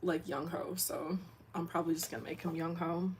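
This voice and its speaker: A young woman with a deep voice, sniffling, chatting casually on a drawing livestream and rambling with lots of fillers. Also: level tone, fairly quick, slight background noise. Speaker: comic artist streamer.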